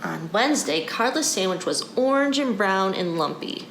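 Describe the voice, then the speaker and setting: A woman's voice: medium pitch, animated, moderate pace. A woman reading a picture book aloud for children as a storyteller, addressing them directly. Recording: echoey room with background noise.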